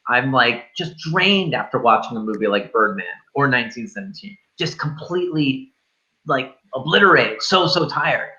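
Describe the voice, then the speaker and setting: Male film show co-host, bright forceful voice, loose enthusiastic chat, slightly muffled recording. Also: mid-range voice, expressive, moderate pace. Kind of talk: casual film discussion.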